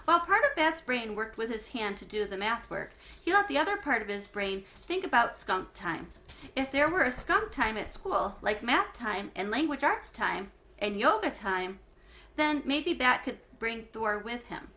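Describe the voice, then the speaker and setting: A woman with a very bright, forward voice reads a children's story aloud in a teacherly way. Her pitch sits a little high, and she phrases expressively, leaning on the important words. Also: sing-song, moderate pace. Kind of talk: read-aloud storytelling for schoolchildren.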